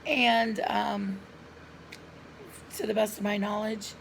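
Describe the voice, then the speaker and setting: Hoarse middle-aged lady vlogging at home, raspy fry, coughing, chatty and earnest, camera mic. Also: medium pitch, little pitch movement, takes it slowly, slight background noise, low-quality recording. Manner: earnest, chatty, addresses viewers directly. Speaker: lifestyle vlogger. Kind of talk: casual personal storytelling to camera.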